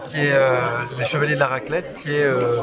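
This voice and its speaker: A man's voice: medium pitch, little pitch movement, fairly quick. A man, an author, describing his book series in a good-humoured, joking way. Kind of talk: casual interview. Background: loud crowd of book fair visitors.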